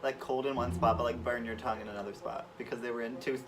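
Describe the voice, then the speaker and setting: A man's voice: mid-range voice, fairly even intonation, fast talker. A young man co-hosting a vlog, telling stories in a casual, chatty, rambling way. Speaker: vlog co-host. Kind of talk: casual storytelling. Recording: distant mic, music behind.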